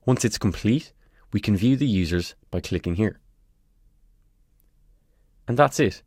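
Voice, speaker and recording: A man presenting a scripted tutorial, giving clear step-by-step instructions in a close, dry voice-over recording. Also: fairly low pitch, lively intonation, measured pace, darkish tone, no echo.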